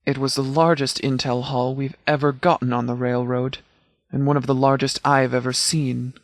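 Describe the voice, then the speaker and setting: Man playing a measured, formal sheriff's commander. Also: medium pitch, no echo, studio quality, lightly acted. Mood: dry, assured. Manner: measured, formal, precise, deadpan. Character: composed, formal male sheriff's department commander, strategic and dry. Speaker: game character, law officer. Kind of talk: video game dialogue voice lines.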